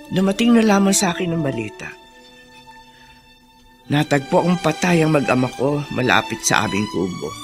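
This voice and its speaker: A woman acting a gossipy, exasperated, motherly middle-aged housemaid in a Filipino radio drama, with drawn-out exclamations. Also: extremely low pitch, exaggerated voice. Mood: grieving, subdued.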